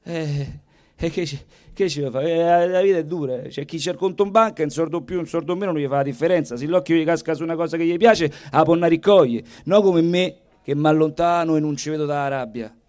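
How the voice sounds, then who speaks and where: A man performs a theatrical comic monologue in a thick Roman accent. His voice sits quite high, and he tells things in a colloquial, rhythmic way while guitar music plays clearly behind him. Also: quick pace, darkish tone. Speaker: stage performer, singer. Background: plucked guitar music.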